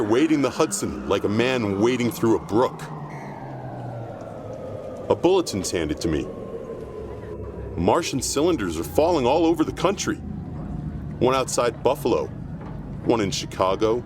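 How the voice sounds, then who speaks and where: A man's voice: on the low side, background noise. A man playing a grave radio reporter in an audio drama, describing disaster as a doomed eyewitness on air. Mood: tense dread. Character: grave male radio reporter facing an invasion's end. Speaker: acting student. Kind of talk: audio drama acting, broadcast news report.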